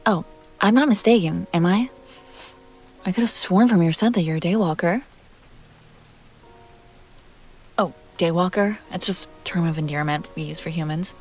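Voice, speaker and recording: A woman's voice: on the low side, a little fast, a little mellow, faint music behind, close to an everyday voice. A woman playing a self-assured, playful vampire heiress in an intimate roleplay audio drama, talking directly to the listener. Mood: teasing, reassuring.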